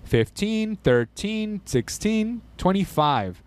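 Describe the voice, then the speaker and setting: A man's voice: high-pitched, very animated, slow, a little mellow. A young man hosting a casual podcast chat, swearing freely and reading out lists of names and numbers. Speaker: podcast host.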